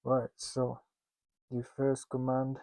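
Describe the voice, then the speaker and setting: A man with a Russian accent teaches in a slow, unhurried way, with a low-pitched, gravelly voice. His tone is flat and mellow. He jokes at his own expense and stumbles through restarts, in a casual lesson. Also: no room sound. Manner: joking and self-mocking, with loose asides; halting, with many restarts and fillers. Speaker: instructor teaching founders.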